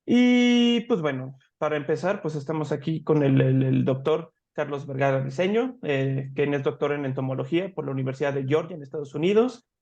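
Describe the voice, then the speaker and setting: A man's voice: a little high, fairly quick, hardly any pauses, darkish tone, dull audio. A man interviewing a guest, asking questions hesitantly with many fillers.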